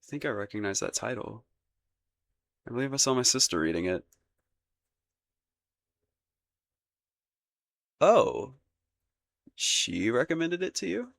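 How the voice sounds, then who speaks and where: Man playing a flustered low-voiced crown prince, gravelly. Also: lively intonation, quick pace, pauses often, a bit bright. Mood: curious, embarrassed. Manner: hesitant, stammering, formal old-fashioned courtly phrasing. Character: shy, flustered, lovestruck young crown prince, courtly and awkward. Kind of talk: romantic roleplay ASMR audio acting.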